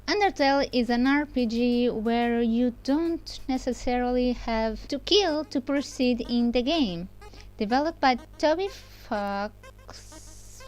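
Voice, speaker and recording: A woman's voice: fairly high pitch, a little slow, hardly any pauses, darkish tone, rough recording. A woman reviewer giving a casual, scripted game voice-over, chatting informally and addressing viewers directly. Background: music.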